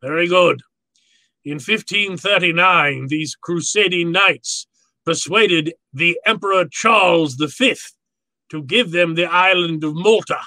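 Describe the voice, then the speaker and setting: An actor playing a courtly, gloating villain in a 1940s-style radio drama, with a florid, pompous, theatrical delivery. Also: male voice, high-pitched, a little slow, pushed and bright, no echo, heavily acted. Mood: relishing. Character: courtly, gloating, verbose male villain, obsessive collector.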